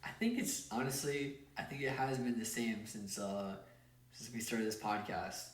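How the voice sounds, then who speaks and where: A man's voice: fairly low pitch, moderate pace. Young man, distant and echoey. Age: young adult. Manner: chatty, loose, repeats words. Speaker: podcast co-host. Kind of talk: casual podcast chat. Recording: echoey room, away from the mic.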